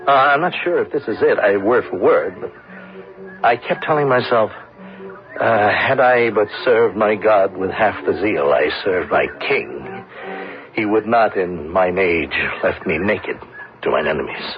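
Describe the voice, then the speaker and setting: An actor playing a despairing, wistful ruined businessman, musing haltingly in literary phrases in an old-time radio drama. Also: fairly low pitch, expressive. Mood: distracted, wistful. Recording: muffled vintage radio recording with music.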